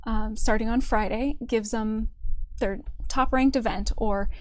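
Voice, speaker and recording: Female event organizer for a casual livestream segment, slightly high-pitched, explaining plainly. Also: fairly even intonation, a little slow. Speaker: convention event organizer and company staff member.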